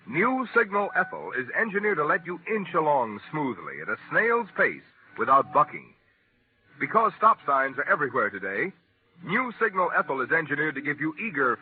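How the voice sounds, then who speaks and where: Animated 1940s radio ad announcer, male. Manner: emphatic sales pitch. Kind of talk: 1940s radio advertising read. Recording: muffled vintage 1940s radio recording.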